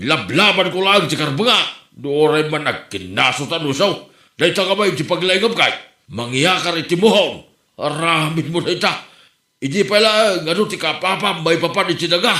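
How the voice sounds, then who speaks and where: A man acts out a grumbling old villager with an Ilocano accent, feisty and comedic. The voice is somewhat high and sharp-edged, recorded with noticeable room echo. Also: expressive, normal speed. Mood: indignant. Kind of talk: Ilocano comedy drama dialogue. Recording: roomy echo.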